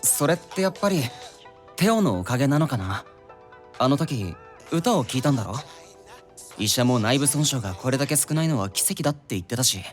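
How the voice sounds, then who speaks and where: An actor playing a caring, good-natured young detective's assistant in an audio drama, casual and expressive. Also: male voice, mid-range voice, fairly quick, faint music behind, close to an everyday voice. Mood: relieved concern. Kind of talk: light audio drama acting.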